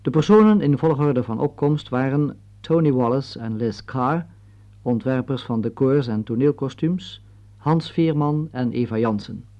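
A man's voice: medium pitch, mellow. Een man leest als omroeper formeel en afgemeten de aftiteling van een hoorspel voor. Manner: measured, formal list reading. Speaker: announcer reading closing credits. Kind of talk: formal scripted radio announcement. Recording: dull, muffled vintage radio recording.

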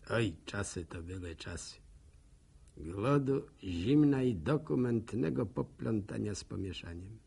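An actor playing an old village war veteran in a radio drama, musing in a folksy manner with a gravelly, husky voice. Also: male voice, fairly low pitch, a little mellow, exaggerated voice. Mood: somber, nostalgic. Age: older. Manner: musing, folksy, chuckling storyteller. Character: old village man, war veteran, reminiscing to his dog.

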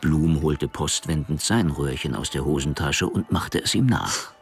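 A man narrating a crime radio drama in a dry, measured storytelling manner. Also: rumbling low, soft background music.

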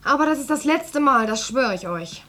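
A woman playing a sharp-tongued, resentful grown daughter in a German radio drama, scornful, snappish and foul-mouthed. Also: sits high, lively intonation, normal speed. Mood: irritated, scornful.

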